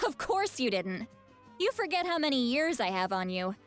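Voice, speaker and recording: A feisty, proud grandmother from a video game, with a high voice that swings widely in pitch. She is brash and teasing, scolding with gruff affection, over soft background music. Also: sing-song, measured pace. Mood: smug.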